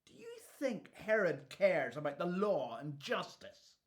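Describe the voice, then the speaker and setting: Man playing slow, animated defiant prophet. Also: high voice. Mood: indignant, sardonic. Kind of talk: radio Bible drama acting.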